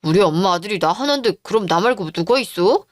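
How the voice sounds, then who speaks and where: A sneering, bullying husband in a radio drama, voiced by a woman who drops her pitch. He talks down to everyone with cold, rude contempt, and the recording is dry and close. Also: expressive, fast, no echo, natural voice. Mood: contemptuous. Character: callous cheating middle-aged husband.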